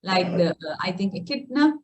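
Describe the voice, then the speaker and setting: A woman's voice: medium pitch, big pitch swings, normal speed. A woman hosting a children's session on a video call, encouraging and praising as she prompts with questions. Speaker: children's storytelling session host, teacher. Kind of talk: gentle interactive chat with children. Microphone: video call microphone.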